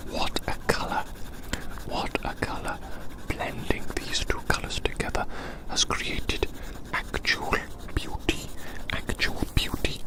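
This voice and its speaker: Asmr roleplay person whispering breathy and hushed, rambling softly and repeating little phrases to you. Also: poor audio. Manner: hushed, slow repetition of phrases, gently musing. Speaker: ASMR performer. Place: outdoors.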